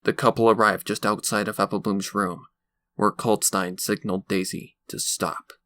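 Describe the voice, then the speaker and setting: A man's voice: fairly low pitch, fairly even intonation, moderate pace, a bit bright, no room sound. A man reading scripted fan fiction as a dramatic narrator. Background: background music.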